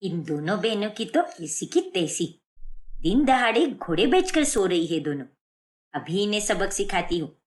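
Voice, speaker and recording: A woman's voice: mid-range voice, big pitch swings, normal speed, close to an everyday voice. A woman voicing a harsh, scolding aunt in a story cartoon. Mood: angry scolding. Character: harsh, scolding aunt berating young girls.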